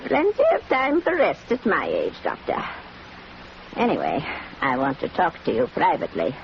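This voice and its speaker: An actress playing a sharp-tongued, bossy, suspicious old country matriarch in a 1940s radio drama. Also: female voice, deep voice, wildly swooping pitch, moderate pace, exaggerated voice. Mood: confiding, suspicious. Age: older. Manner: imperious, blunt, scornful. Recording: muffled, noisy 1940s radio recording.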